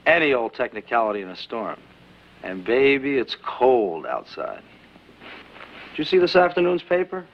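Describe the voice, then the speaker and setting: A man's voice: medium pitch, big pitch swings, moderate pace, a little hiss or hum. An actor playing a cocky young male college student who teases in a glib, smug way. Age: young adult. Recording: dull 1960s film soundtrack.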